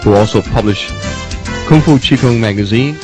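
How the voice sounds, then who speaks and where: A man's voice: fairly low pitch, normal speed. A man reading a scripted promotional voice-over as an announcer. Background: plucked guitar music.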